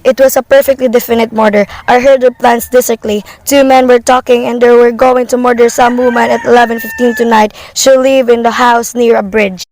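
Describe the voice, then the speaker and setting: High-pitched, fretful housewife acted by a woman. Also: flat delivery, a little fast, few pauses, darkish tone, lightly acted, poor audio. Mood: agitated, urgent. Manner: fretful, insistent, wordy. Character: anxious, demanding invalid wife reporting an overheard murder plot. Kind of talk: amateur radio play acting.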